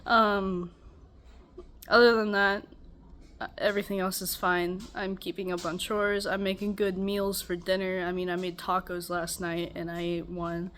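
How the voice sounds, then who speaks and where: A woman's voice: lowish voice, flat delivery, measured pace, fairly bright tone. Tired, stuffy young woman rambling at home. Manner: rambling, weary, self-deprecating. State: stuffy, congested. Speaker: vlogger. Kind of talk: casual personal check-in. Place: home, beside a loud air conditioner.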